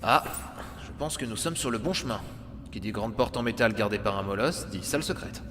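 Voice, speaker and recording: A man's voice: on the low side, expressive, fairly quick, slight background noise. A man playing a cocky, unflappable adventurer in an audio drama, assured and teasing with a faintly pompous, ironic delivery. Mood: calm, confident. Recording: long reverberant hall, loud music behind.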